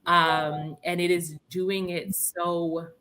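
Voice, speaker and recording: A young woman from Brooklyn, speaking as a guest in an interview, earnest and impassioned, thinking out loud in long flowing sentences. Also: on the low side, little pitch movement, slow pace. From Brownsville, Brooklyn, New York. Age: young adult. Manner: earnest, impassioned, talks in flowing run-on thoughts. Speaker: guest, policing reform advocate. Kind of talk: interview conversation.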